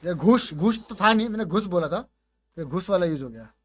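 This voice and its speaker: High-pitched Indian man, casual gaming commentary. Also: animated, brisk, fairly bright tone. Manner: informal, slangy, mixes Hindi and English. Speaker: gaming content creator presenting his voice pack.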